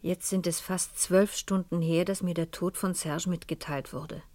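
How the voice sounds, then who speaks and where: An actress as a melancholy, probing lady in a radio crime play. Her voice is low and recorded dry and close. She speaks with formal composure and deliberate, reflective phrasing. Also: fairly even intonation, dark tone, no echo, lightly acted. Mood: anxious, brooding. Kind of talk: German radio play acting with reflective narration.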